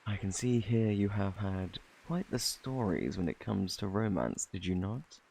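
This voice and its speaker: Low, gravelly British fortune teller played by a man. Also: lively intonation, unhurried, mellow, slight background noise, heavily acted. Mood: flirty, intrigued. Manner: courtly, old-fashioned, teasing phrasing. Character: flirty, peculiar fortune teller man at a fantasy inn. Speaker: ASMR roleplay performer. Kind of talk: ASMR character roleplay.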